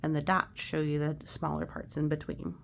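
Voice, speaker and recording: A woman's voice: low-pitched, level tone, normal speed, vocal fry, a little hiss or hum. A woman reading a picture book aloud with casual asides, chatty and exclamatory, explaining things simply for children and often letting phrases drop to a near whisper.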